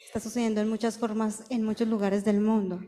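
A woman's voice: mid-range voice, level tone, a little fast, mellow. A woman interpreting a talk live before a hall audience, fluent, sometimes restarting a word. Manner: fluent, sometimes restarts and lifts her voice at light moments. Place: large hall with audience, indoors.

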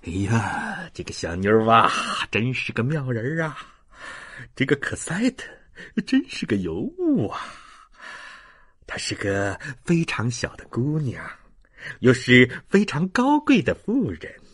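A man voicing a doting, excitable old grandfather in an audiobook, with effusive sighs and exclamations. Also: medium pitch, measured pace, slightly rough, dry recording, studio quality, exaggerated voice. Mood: gushing, delighted.

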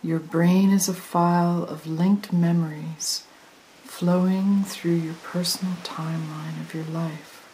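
A woman leading a guided meditation as a hypnotic induction, soothing and often dropping to a breathy near-whisper. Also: fairly low pitch, takes it slowly, poor audio. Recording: hissy recording with steady noise.

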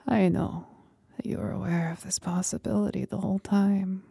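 A woman playing a seductive, mocking demon companion in a whispered ASMR roleplay, speaking breathily with deliberate pauses. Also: medium pitch, flat delivery, creaky, dark tone, breathy edge, no room sound, clean studio sound, full character voice. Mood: tempting.

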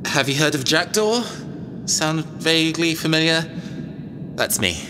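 A man's voice: a little high, animated, a little slow, in a large hall, background noise. A man playing a cagey, self-assured robot engineer mercenary in an audio drama, wry, conversational and hesitant. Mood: reluctant, confessing.

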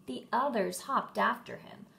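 A woman, reading a storybook aloud to children with expressive, emphatic phrasing, recorded at home. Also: fairly high pitch, a little slow, creaky. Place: room at home.

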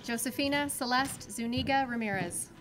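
A woman formally reading out graduate names at a commencement, slow and measured. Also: on the high side, a bit bright. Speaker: commencement name reader. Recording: with music in the background.

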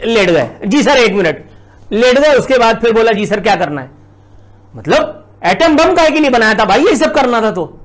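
A middle-aged Pakistani man giving indignant, sarcastic political commentary full of rhetorical questions. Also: high-pitched. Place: indoors, plain blue backdrop.

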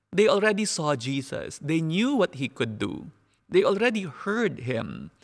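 A young adult man, a priest, giving a measured homily for a live-streamed mass from a church, with rising emphasis on key words and a headset mic. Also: mid-range voice, vocal fry, a bit bright. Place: church sanctuary, indoors. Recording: reverberant church hall.

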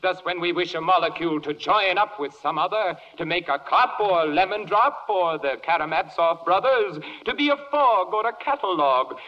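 A florid, insistent courtroom prosecutor orates in rhymed verse in old-time radio style. He is high and highly animated, on a muffled 1940s recording. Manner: theatrical, oratorical. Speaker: actor playing a prosecutor. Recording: dull 1940s radio recording with long reverb.

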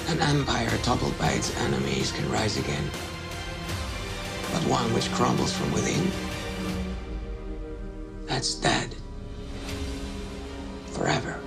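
Slow, very gravelly vengeful schemer. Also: male voice, mid-range voice, very animated, heavily acted. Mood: cold. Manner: measured, solemn, subdued. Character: grief-hardened, quietly vengeful male schemer. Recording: film clip under loud music.

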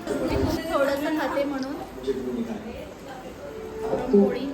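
A woman's voice: high-pitched, fairly even intonation, slow pace, creaky. A woman chatting casually as a family vlogger, prompting the people around her in a home. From Maharashtra, India. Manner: chatty, instructing relatives to greet the camera. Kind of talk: casual festival vlog chat. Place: indoors at a family home during a festival gathering. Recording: crowded festive room with loud music. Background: loud chatter and music.

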